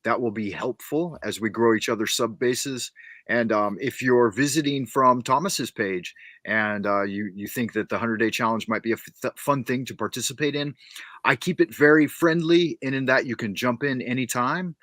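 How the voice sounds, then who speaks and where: A man with a bright, forceful voice hosts a casual interview. The recording is dry and close, and he sounds upbeat and keen to promote, often stumbling over the first words of a sentence. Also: mid-range voice, normal speed, dry recording. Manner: upbeat, promotional, stammers on starts. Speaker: interview host.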